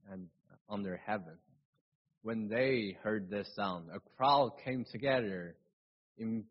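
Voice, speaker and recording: A man with a Chinese accent, whose first language is Chinese, reading scripture and preaching a sermon in a halting way, with restarts and slips. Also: medium pitch, slightly rough. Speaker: pastor. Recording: dull lo-fi recording.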